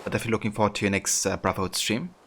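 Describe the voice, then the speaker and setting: A man with a German accent, a native German speaker, chatting casually while streaming, relaxed and rambling with many fillers. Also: lowish voice, rapid-fire, a little hiss or hum. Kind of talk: casual live-stream commentary.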